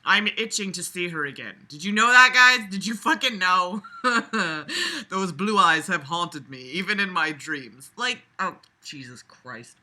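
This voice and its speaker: A woman hosts a read-aloud with a very bright, forceful voice. She moves between the text and her own casual commentary, which is sarcastic, exasperated and freely foul-mouthed. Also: lowish voice, expressive, unhurried. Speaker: host reading a novel aloud with commentary.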